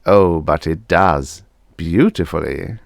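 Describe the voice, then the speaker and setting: A man's voice: low-pitched, slow, creaky, no echo, clean studio sound, full character voice. A man voicing a shifty older mad doctor in a science fiction audiobook, fussy, theatrical and patronizing. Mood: gleeful, smug.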